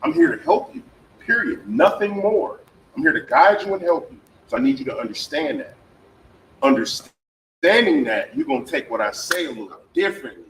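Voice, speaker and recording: A man's voice: a little high, big pitch swings, normal speed, a bit bright. A man in a casual group discussion who speaks in an emphatic, earnest way. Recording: roomy, distant mic with faint noise.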